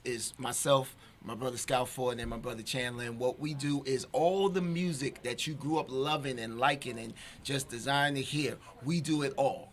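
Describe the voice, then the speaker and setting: A man's voice: mid-range voice, a bit bright, slight background noise. A man speaking casually and emphatically as an interview guest, repeating phrases for stress. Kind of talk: casual chat. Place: radio studio, indoors.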